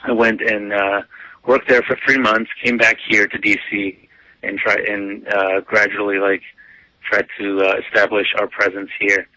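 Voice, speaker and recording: Laid-back guy late 20s, lots of likes and ums, flat lowish voice, dry sound. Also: a little slow, no echo, poor audio. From United States (grew up). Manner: easygoing, lots of "um" and "like" fillers. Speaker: guest. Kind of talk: casual interview answers.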